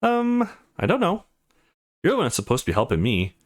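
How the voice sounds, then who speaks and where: Man reading as a playful, animated young game heroine. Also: high-pitched, a little fast, voice pushed up. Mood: teasing.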